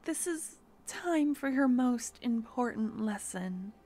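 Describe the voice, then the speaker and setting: A woman's voice: on the high side, lively intonation, slow. A woman playing a whispering devoted lover. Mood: tender. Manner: soft, intimate, often whispering. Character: tender devoted woman in love, mystical. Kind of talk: intimate audio roleplay to the listener.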